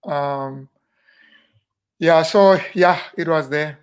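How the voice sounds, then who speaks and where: A South African man in his fifties talks about the past at an easy, wandering pace. He often stops on fillers and restarts his sentences, and his voice sits a little high for a man. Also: smooth. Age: 50s. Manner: unhurried, rambling, with frequent fillers and restarts. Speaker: interview guest, minister. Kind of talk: relaxed reminiscing interview talk.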